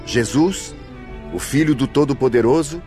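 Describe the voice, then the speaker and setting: A man's voice: mid-range voice, normal speed, warm tone, close to an everyday voice. A man with a Brazilian accent acting a courteous, reverent spirit guide in a radio drama, explaining things formally and earnestly. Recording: music under the voice.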